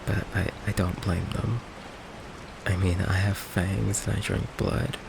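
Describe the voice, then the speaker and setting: Low, gravelly man as a gentle vampire boyfriend. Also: background noise, exaggerated voice. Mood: resigned, hurt. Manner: hesitant, halting, soft-spoken, apologetic. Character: gentle, insecure male goth vampire boyfriend confessing his secret. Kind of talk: ASMR boyfriend roleplay. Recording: steady rain ambience behind the voice. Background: rain ambience.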